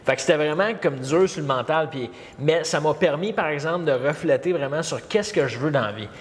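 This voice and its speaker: Halting Québécois man telling his story. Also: fairly high pitch. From Montreal. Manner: halting, many fillers and repeats. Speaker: podcast guest. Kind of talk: casual interview storytelling. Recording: long reverb, faint background noise.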